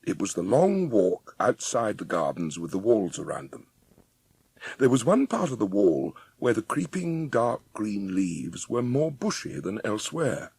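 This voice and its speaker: Husky, low-voiced male storyteller. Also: sing-song, a little slow, a little mellow, dry recording. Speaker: narrator. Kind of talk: scripted storybook narration.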